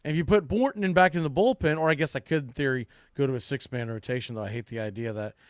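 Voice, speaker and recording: A man's voice: mid-range voice, very fast, no room sound, studio quality. A man hosting a baseball podcast, giving off-the-cuff preview commentary and thinking aloud as he corrects himself.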